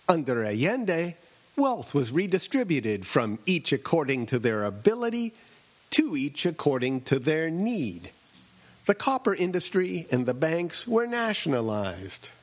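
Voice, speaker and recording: A middle-aged man from Southern California narrating a scripted personal story as host, with a wry, deadpan delivery and dramatic pauses. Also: on the high side. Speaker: radio essayist and host.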